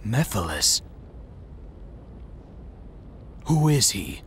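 Brooding, deliberate male anti-hero. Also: lowish voice, big pitch swings, slight background noise. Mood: wary. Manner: terse, grave, deliberate. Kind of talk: video game cutscene acting. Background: background music.

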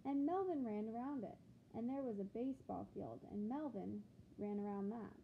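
A woman reading a picture book aloud to children like a teacher, with expressive, deliberate phrasing and questions to the listeners. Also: on the high side, vocal fry. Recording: phone-like thin sound with background noise.

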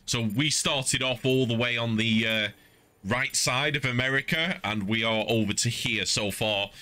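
A man's voice: medium pitch, measured pace, bright, forceful tone. A middle-aged man giving casual, playful, mock-indignant commentary as he livestreams a video game. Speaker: livestreaming gamer. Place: small indoor streaming setup, wearing headset. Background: game music and vehicle sounds.

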